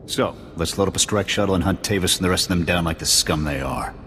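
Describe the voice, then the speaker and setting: A seasoned male sergeant from a video game, gruff and blunt with an extremely deep voice. His words ring in a long reverb over faint noise. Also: quick pace, fairly bright tone. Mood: resentful.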